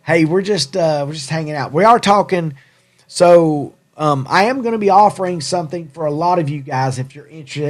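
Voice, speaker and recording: A man's voice: mid-range voice, darkish tone. A man from Tennessee with a Southern American accent, chatting casually as a livestream host in a rambling, jokey, self-deprecating way. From Tennessee, USA. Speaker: livestream host and hat company owner. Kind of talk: casual live chat with viewers.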